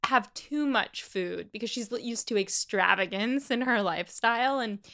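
A woman's voice: on the high side, normal speed, pushed and bright. A woman co-host chatting casually as she retells a novel's plot, full of quoted asides. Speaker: podcast co-host.

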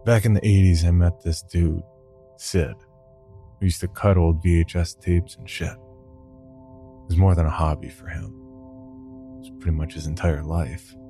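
A man hosting a horror podcast and reading scripted first-person stories. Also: sits low. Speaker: podcast host and story reader.

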